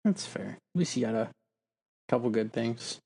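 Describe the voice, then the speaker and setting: A man co-hosting a podcast in casual chat, low-key in his reactions and remarks. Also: lively intonation, moderate pace, vocal fry, a little mellow, husky. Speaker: podcast co-host.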